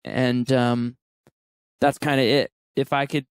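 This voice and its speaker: Male musician guest, 30s, candid and laughing, smooth clear tone. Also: mid-range voice, little pitch movement, slow, no room sound, studio quality. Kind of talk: casual interview chat.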